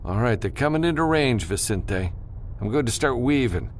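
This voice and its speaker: Unflappable male pilot read by a man, dry, instructive, animated pitch, sci-fi audiobook. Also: normal speed, slight background noise, lightly acted. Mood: focused. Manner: dry, matter-of-fact, instructing. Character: gruff, unflappable male spaceship pilot, seasoned freelancer.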